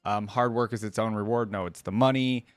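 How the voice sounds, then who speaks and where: A man's voice: lowish voice, steady tone, fairly quick, clean tone, no room sound. A man hosting a reaction video, giving casual commentary to his viewers and rambling a little as he thinks aloud.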